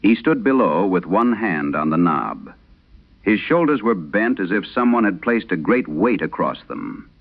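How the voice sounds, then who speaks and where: Deep, gravelly man narrating vintage horror. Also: big pitch swings. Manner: solemn, measured, dramatic pauses. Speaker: horror audio drama narrator. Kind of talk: scripted first-person horror storytelling, vintage radio style. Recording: dull, band-limited vintage radio sound.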